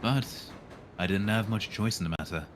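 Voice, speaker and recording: A man's voice: fairly low pitch, moderate pace, background noise. A man streaming a visual novel, reading it aloud and dropping in dry, irreverent asides. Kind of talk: live read-aloud of a game with casual joking asides.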